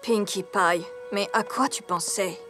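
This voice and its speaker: A woman voicing a stoic, serious older-sister pony in a French cartoon dub, with a formal, emotionless deadpan delivery. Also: medium pitch, a little slow, close to an everyday voice. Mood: flat, resigned. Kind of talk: French animated series dub. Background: music.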